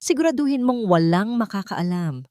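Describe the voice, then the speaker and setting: A woman voicing a brusque, hurried, wealthy young man in an audio storybook. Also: mid-range voice, animated, warm tone, dry recording, studio quality, pitched above the performer's own voice, lightly acted. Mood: secretive, brisk.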